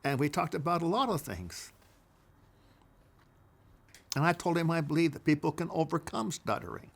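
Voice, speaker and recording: An older man recounting his life in a casual oral memoir, deliberate and repeating phrases for emphasis. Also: on the high side, big pitch swings, vocal fry, breathy edge.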